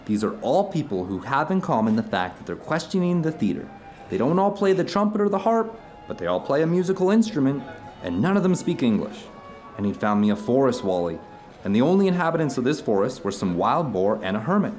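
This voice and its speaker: A man's voice: medium pitch, sing-song, brisk, darkish tone, soft background music, natural voice. A man playing an earnest theater director telling stories to an old friend in a conversational audio drama. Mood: amused storytelling. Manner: storytelling, earnest, discursive. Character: animated theater director recounting his travels to an old friend.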